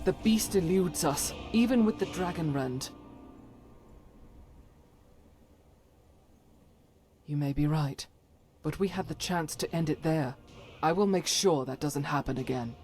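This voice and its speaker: A devout warrior follower from a fantasy game, acted by a woman. Her voice sits low and she speaks with stern, solemn formality in old-fashioned phrasing. Soft music runs underneath. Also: moderate pace, lightly acted. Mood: resolute. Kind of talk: scripted fantasy game companion dialogue.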